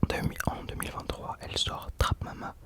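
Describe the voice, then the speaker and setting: A man's voice: a little fast, fairly bright tone. A young man whispering casual ASMR commentary as a host, hesitantly searching for his words.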